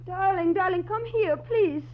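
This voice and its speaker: An actress playing a theatrical, pleading femme fatale in 1940s radio melodrama style. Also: female voice, extremely high pitch, measured pace. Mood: distraught. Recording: muffled, noisy old-time radio recording with hum.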